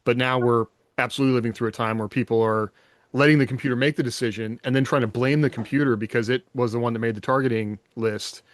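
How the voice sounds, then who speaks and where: A man's voice: mid-range voice, fairly even intonation, fast, dry recording. A man in a casual podcast panel discussion, explaining his thinking reflectively and often checking in with rhetorical questions.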